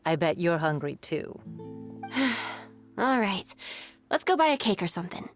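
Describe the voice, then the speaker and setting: A woman voicing a gruff but kindly patrol guard in a video game. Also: lowish voice, big pitch swings, unhurried, faint music behind. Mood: coaxing.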